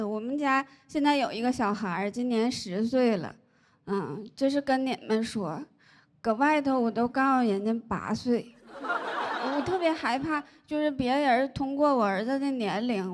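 High-voiced deadpan comedian woman. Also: moderate pace. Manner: deadpan storytelling with punchlines. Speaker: stand-up comedian. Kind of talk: stand-up comedy set. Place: large indoor comedy stage. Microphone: handheld mic. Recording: live audience laughter noise. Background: audience laughter.

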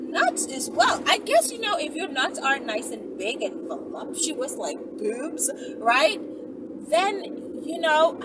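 A young adult woman chatting casually to her viewers like a vlogger, animated and conversational, often checking in with short tags. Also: sits high. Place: inside a car in daylight. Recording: distant mic, noisy car interior.

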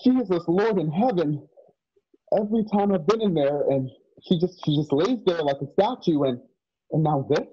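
An earnest young man from a radio drama, acted by a man, speaking in a fairly high, husky voice. He is anxious and hesitant, tripping over and repeating words. The sound is dry and a little dull, like a video call. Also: animated, moderate pace, no room sound. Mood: alarmed. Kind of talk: online radio drama acting. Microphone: video call, home setup.

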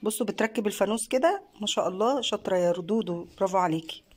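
An Egyptian woman filming a home craft vlog on her phone, talking casually and praising warmly as she films. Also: mid-range voice, rapid-fire. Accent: Egyptian Arabic. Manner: warm praising, encouraging, mixes in many fillers. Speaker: craft channel host and mother. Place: living room at a home table. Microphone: phone camera held by the speaker.

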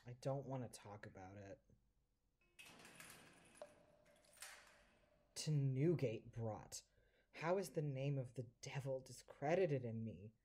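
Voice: mid-range voice, moderate pace, dark tone, natural voice. An actor in an amateur audio drama playing a hapless minor devil in human shape, speaking plaintively in theatrical early modern English. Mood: glum lamenting.

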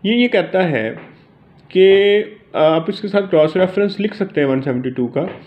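A man with a Pakistani accent giving an informal lesson as a teacher, reading out and explaining in a halting way. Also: high-pitched, lively intonation, dull audio. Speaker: law teacher.

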